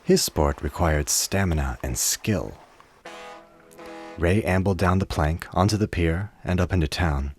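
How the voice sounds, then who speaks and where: A man with a low, deep voice narrates a dramatic story for a radio play. His delivery is measured and literary, reading from a script. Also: husky, faint music behind.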